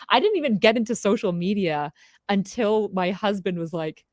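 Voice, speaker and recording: A woman's voice: mid-range voice, moderate pace, dry recording, studio quality. A woman from Canada, a political commentator guest, talking earnestly and casually with frequent "like.". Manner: earnest, lively, says "like" often.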